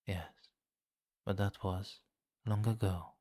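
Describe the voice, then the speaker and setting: A man playing a suave, commanding, centuries-old vampire king in an ASMR fantasy roleplay, speaking formally and deliberately with quiet menace. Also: lowish voice, fairly even intonation, no echo, clean studio sound. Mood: brooding, stern. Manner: formal, deliberate, softly imperious.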